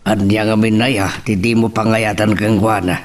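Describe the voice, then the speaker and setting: A man's voice: on the low side, level tone, normal speed, far from the mic, close to an everyday voice. A man acting an older rural father, practical, pressing and fond, in an Ilocano-accented audio drama. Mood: urging.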